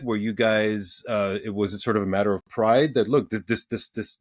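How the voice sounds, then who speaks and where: A man in his forties hosting a casual podcast interview, talking in halting bursts with many restarts and repeated words. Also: on the low side, no echo. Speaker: podcast host.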